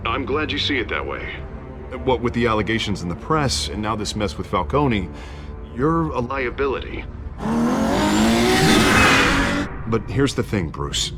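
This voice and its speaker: An actor playing an anxious, self-interested politician in a video game, hesitant, chummy and persuasive. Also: male voice, mid-range voice, very animated, normal speed, over loud music, lightly acted. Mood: pleading. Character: male politician, anxious, ingratiating, self-interested campaign candidate.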